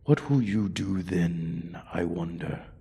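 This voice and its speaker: A man voicing a menacing, condescending dragon who taunts human prey in a fantasy ASMR roleplay, husky and breathy. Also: sits low, slow, mellow, full character voice. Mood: cold threatening.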